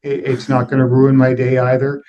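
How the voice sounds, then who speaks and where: Middle-aged man, fairly even pitch. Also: moderate pace, low-quality recording. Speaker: interview guest, aviation executive. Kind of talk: conversational interview answers.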